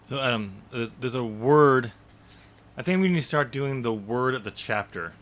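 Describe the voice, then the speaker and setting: A man's voice: mid-range voice, moderate pace, a bit bright. A man hosting a podcast about his own novel, chatting casually, laughing often and poking fun at his own writing. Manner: self-deprecating, laughs often, stumbles and restarts. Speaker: podcast host and author of the novel.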